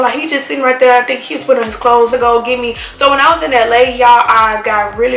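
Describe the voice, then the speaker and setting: A young woman telling a story to camera in a casual, slangy, emphatic way, talking straight to her viewers. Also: a little high. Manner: animated, slangy, emphatic, addresses viewers as y'all. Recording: echoey room with faint music.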